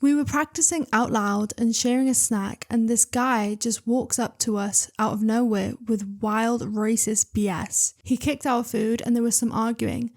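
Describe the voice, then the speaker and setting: A woman's voice: on the high side, unhurried, few pauses. Chatty woman reading stories aloud. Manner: chatty, excitable, interrupts herself with asides. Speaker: reader and channel host.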